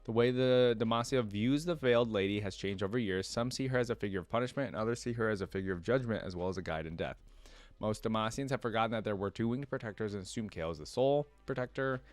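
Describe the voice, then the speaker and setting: A man's voice: lowish voice, fast, no echo. A man hosting a reaction video, reading aloud and commenting in an informal, fan-like way.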